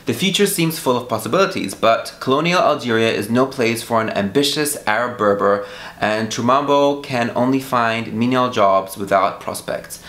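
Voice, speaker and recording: A man with a medium-pitched voice talks about his new books in a loose, chatty way. His first language is Arabic. He sounds eager, and he often softens a claim with a quick "I think.". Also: roomy sound. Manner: enthusiastic, chatty, trails off with hedges like "I think". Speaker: book vlogger. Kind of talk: casual book haul chat to camera.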